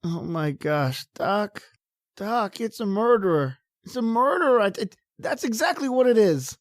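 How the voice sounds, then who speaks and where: A woman's voice: mid-range voice, sing-song, measured pace, no room sound, clean studio sound. A woman playing a timid, stammering male lab assistant in an old-time radio horror drama, pleading and easily scared. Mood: frightened.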